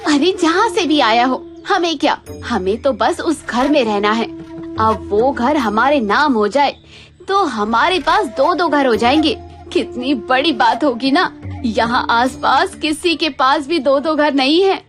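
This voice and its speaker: Lady doing greedy sly cartoon bahu, high voice, scheming, music behind her. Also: expressive, measured pace. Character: scheming, greedy young daughter-in-law. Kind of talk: Hindi cartoon moral-story voice acting.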